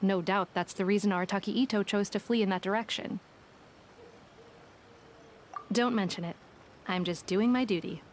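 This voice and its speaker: A woman playing a composed, dutiful investigator in a video game, speaking in a measured, formal, matter-of-fact way. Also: medium pitch, fairly even intonation, a little hiss or hum, lightly acted. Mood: dismissive, assured. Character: composed, dutiful female investigator telling a cautionary tale. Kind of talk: scripted video game dialogue. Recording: game music behind the voice.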